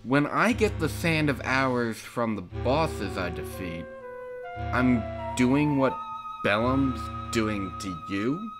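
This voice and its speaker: A man's voice: mid-range voice, animated, slow, over music. A man voicing a jaded, sarcastic young adventurer in a cartoon parody, with a dry, casual manner. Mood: sardonic.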